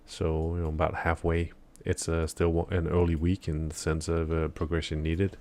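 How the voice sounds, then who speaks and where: A man's voice: a bass voice, completely flat delivery, normal speed, a little mellow. A man live-streaming a game in the morning, chatting casually with viewers in a rambling, hesitant way full of fillers and restarts, still a bit sleepy. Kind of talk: casual live-stream chat while gaming.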